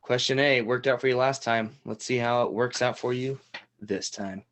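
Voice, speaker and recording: A man's voice: mid-range voice, fairly even intonation, crowd noise, close to an everyday voice. Man playing a theatrical teasing game show host. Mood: showy, teasing. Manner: repeats questions with drawn-out emphasis. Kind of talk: improvised game show parody hosting.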